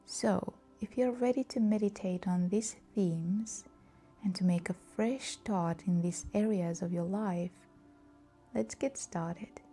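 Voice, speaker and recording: A woman leading a scripted guided meditation in a soft, breathy, soothing and calm voice. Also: medium pitch, slow, faint music behind.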